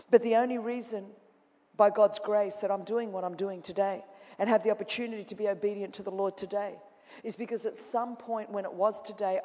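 Woman preaching in a reverberant hall. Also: medium pitch. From Australia. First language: Greek. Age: 40s. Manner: dramatic, swings from loud mimicking to hushed intensity. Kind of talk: sermon. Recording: long reverb of a large hall.

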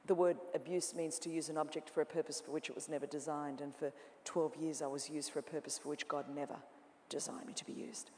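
A woman preaching to a congregation, her voice ringing through a large auditorium with a long reverb. She moves from loud, lively imitation to quiet, intense confession. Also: low-pitched, fast talker, a little hiss or hum. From Australia. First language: Greek. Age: 40s. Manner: dramatic, swings from loud mimicking to hushed intensity. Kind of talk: sermon.

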